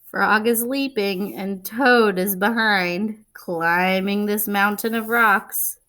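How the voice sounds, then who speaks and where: A woman reading a children's picture book aloud, expressively, pausing to explain and put questions to young listeners. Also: medium pitch, a bit bright. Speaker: read-aloud storyteller and teacher-like reader.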